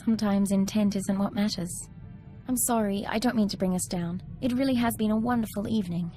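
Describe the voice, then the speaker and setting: Game character, played by a woman: fond, respectful young intelligence officer, warm dark tone, gentle delivery. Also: mid-range voice, fairly even intonation, fairly quick, faint music behind, close to an everyday voice. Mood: wistful, tender. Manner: polite, soft, sincere. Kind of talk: video game cutscene acting.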